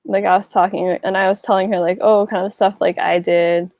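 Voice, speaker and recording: Young woman from Hawaii, interviewee, gravelly and mellow, rambling casual talk. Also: mid-range voice, little pitch movement, brisk, no room sound. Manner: rambling, many likes and restarts.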